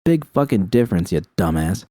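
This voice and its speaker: A man's voice: sits low, sing-song, a little fast, mellow, no echo, studio quality. A man voicing a crude, argumentative, foul-mouthed thug in an audiobook, brash and jeering. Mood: irritated bickering. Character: crude, argumentative male thugs bickering and bragging. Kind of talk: audiobook character dialogue.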